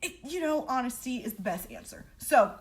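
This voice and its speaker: A woman's voice: high voice, moderate pace. A young woman telling a personal story in a casual, chatty, dramatic way, with slangy, blunt talk and free swearing. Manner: animated, dramatic re-enacting of dialogue. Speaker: storytelling vlogger.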